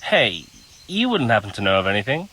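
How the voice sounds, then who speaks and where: A man's voice: lowish voice, big pitch swings, brisk, bright, forceful tone, full character voice. A man playing an easygoing, work-shy village carpenter in a video game, casual and matter-of-fact. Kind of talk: video game cutscene dialogue.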